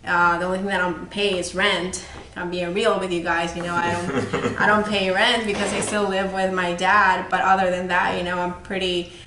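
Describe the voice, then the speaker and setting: A woman's voice: lowish voice, level tone, normal speed, background noise. A woman in her twenties from El Salvador, giving a candid, earnest personal motivational talk. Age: 20s. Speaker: speaker. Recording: echoey room.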